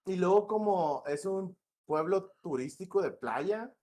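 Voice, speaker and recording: Relaxed northern Mexican man, slangy. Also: high-pitched, measured pace, crowd noise. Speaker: game director, guest. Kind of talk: casual podcast interview. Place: home in Morelia.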